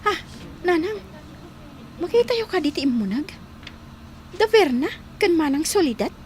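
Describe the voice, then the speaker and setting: High-voiced, eager Filipino daughter. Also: female voice, very animated, moderate pace, darkish tone, a little hiss or hum. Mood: curious. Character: eager, dutiful college-bound daughter, young woman. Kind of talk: radio drama acting.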